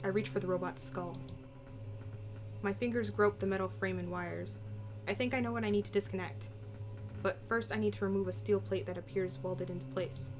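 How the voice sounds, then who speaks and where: A woman's voice: medium pitch, steady tone, fairly quick, over music. A woman reading visual novel text aloud as dramatic first-person narration.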